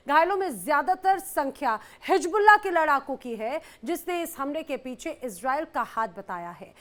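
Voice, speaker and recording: Female anchor, high voice, crisp formal news reading. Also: a bit bright.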